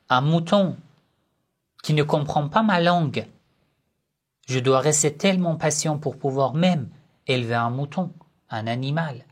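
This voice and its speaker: A man giving a religious lesson on family life, speaking in short, measured phrases and asking rhetorical questions that he then answers himself. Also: on the high side. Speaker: religious teacher.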